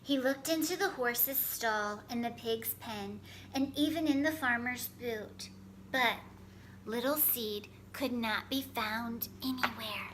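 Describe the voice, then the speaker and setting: A woman storyteller reading a children's picture book aloud, expressive and careful in her phrasing. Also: fairly high pitch, slow, noisy background. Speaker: storyteller, host.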